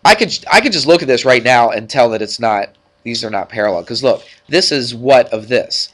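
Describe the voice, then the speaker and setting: A man's voice: medium pitch, lively intonation, fairly quick, a bit bright. A man with a stuffed-up nose teaching math to a class, talking informally and checking in with frequent questions. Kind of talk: classroom worked-problem lesson.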